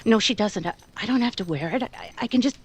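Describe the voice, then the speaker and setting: A woman's voice: mid-range voice, animated, lightly acted. A woman acting a timid, flustered young woman, noisy recording. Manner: hesitant, stammering, halting. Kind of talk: 1940s radio drama acting.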